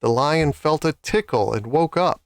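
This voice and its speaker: A man's voice: a little high, unhurried, no echo, clean studio sound. A man reading scripted children's stories as a storyteller.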